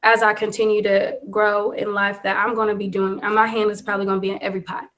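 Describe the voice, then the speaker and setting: A woman's voice: mid-range voice, little pitch movement, fast, a bit bright. A woman, a guest business owner in a casual podcast interview, talking earnestly and repeating her key points for emphasis. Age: 20s.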